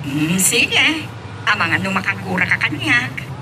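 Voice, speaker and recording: An actress playing a gossipy, sly, mocking aunt in an Ilocano radio drama, with an Ilocano accent. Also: female voice, mid-range voice, very animated, measured pace, noisy background. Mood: mocking, needling.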